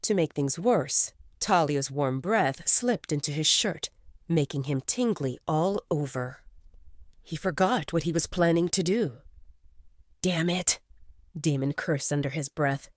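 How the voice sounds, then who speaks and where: A woman narrating a scripted audio drama in an expressive, intimate storytelling manner. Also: deep voice, measured pace, dry recording, studio quality.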